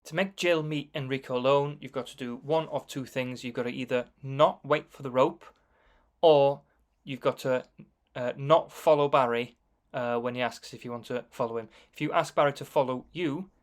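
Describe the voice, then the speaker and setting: A man's voice: mid-range voice, fairly even intonation, normal speed. Man giving casual game commentary. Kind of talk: casual explanatory voice-over commentary.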